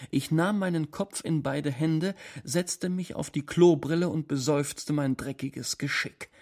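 A man reading a first-person short story dramatically, with deliberate phrasing and shifts in volume. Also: a little high, creaky, a little mellow.

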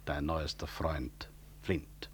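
A man's voice: a bass voice, little pitch movement, very slow, husky, heavily acted. An actor in a radio drama playing a proud middle-aged male clinic director with a dry, sardonic, formal manner. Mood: cool irony.

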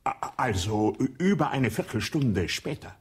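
Ironic inspector, husky voice, animated pitch, probing, radio play. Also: male voice, measured pace, heavily acted. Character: shrewd male police inspector.

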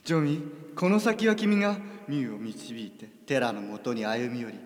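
A man playing a gentle yet commanding, long-lived psychic leader with feminine phrasing in an amateur radio drama. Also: mid-range voice, normal speed, long reverb tail, faint music behind, natural voice. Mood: weak, tender.

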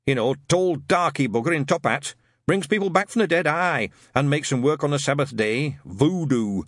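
A cantankerous old sergeant, as a reader puts him on, barks his orders and mutters dark conspiracies. His voice is husky and pushed loud. It rises and dips with bluster, recorded dry and close. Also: on the high side, expressive, moderate pace, no room sound, voice pushed up. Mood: barking, suspicious. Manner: barking, conspiratorial, bigoted grumbling. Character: gruff, paranoid old Scottish witchfinder sergeant. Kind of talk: audiobook character voice.

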